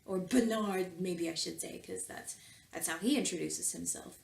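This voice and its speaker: A woman's voice: fairly low pitch, normal speed, gravelly. A woman talking casually and reading aloud as a comedic host, with wry conversational asides. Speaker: video host and fan fiction reader. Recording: roomy echo with faint background noise.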